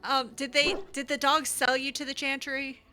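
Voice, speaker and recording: A woman's voice: sits high, little pitch movement, moderate pace, music in the background. A woman hosting a game playthrough, chatting casually in character with a teasing, sarcastic manner. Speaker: gaming video host, roleplaying as player character. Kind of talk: casual in-character roleplay commentary.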